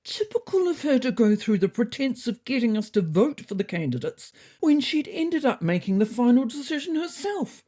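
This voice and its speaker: Woman doing a high-pitched grumbling cat sidekick. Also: sing-song, moderate pace, few pauses, mellow. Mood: grumbling, indignant. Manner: complaining, put-upon. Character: grumbling, easily hurt cat, gloomy comic sidekick.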